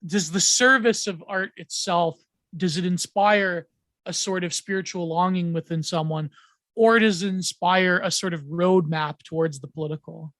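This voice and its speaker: A man talking as a podcast guest in casual chat, rambling with many pauses, fillers and restarts, laughing often. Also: high-pitched, fairly bright tone, no echo.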